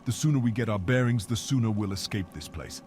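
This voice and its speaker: A man's voice: lowish voice, expressive, quick pace, background noise, close to an everyday voice. A man voicing a blunt, impatient male enforcer, over music. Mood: curt. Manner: curt, impatient.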